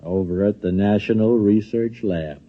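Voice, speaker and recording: A man's voice: on the low side, normal speed, lightly acted. A man playing a genial government official who coaxes and placates in an American accent, hesitant and repeating himself, in a 1950s radio drama. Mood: urging. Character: genial, coaxing government man plying a guest for secrets. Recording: muffled old radio broadcast recording.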